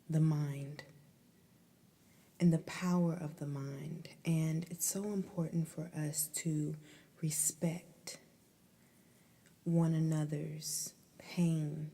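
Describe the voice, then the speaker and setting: A woman whispering a guided ASMR meditation in a breathy, soothing, deliberate way, pausing between phrases. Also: low-pitched, warm tone.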